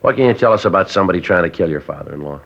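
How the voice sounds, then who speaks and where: A stern, no-nonsense police detective, very low and quick, firing off clipped flat questions. It comes through a dull lo-fi old radio recording. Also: male voice, vocal fry, heavily acted. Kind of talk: 1950s radio drama acting.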